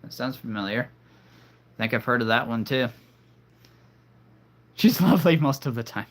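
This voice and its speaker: A man's voice: mid-range voice, expressive, moderate pace. A man hosting a live stream, rambling casually and making cheesy jokes to his viewers. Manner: rambling, jokey, punning.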